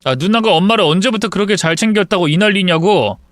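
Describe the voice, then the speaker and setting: A man voicing a married son who answers back defensively and fast. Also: high-pitched, expressive, a bit bright, no room sound, clean studio sound, voice pushed up. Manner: defensive, rapid retorts. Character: defensive married son, quick-tempered younger brother.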